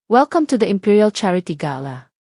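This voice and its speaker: A woman narrator with a Filipino accent voicing a calm, steadfast wife and mother in an audio drama, measured and earnest. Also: mid-range voice, no room sound, clean studio sound. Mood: calm, firm.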